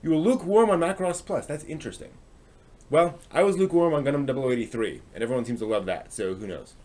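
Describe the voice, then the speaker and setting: A man hosting a casual livestream, rambling and thinking aloud as he chats with viewers in a halting way. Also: mid-range voice, a little mellow. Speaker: live stream host. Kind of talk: casual livestream chat, answering viewers' comments.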